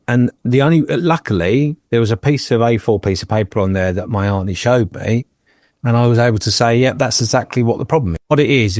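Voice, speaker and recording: A man in his fifties from England tells personal stories on a podcast, slipping in dry jokes along the way. His voice is on the low side. The recording is close and dry. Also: a little mellow, no room sound. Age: 50s. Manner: jokey, anecdotal storyteller. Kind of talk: casual chat, personal storytelling.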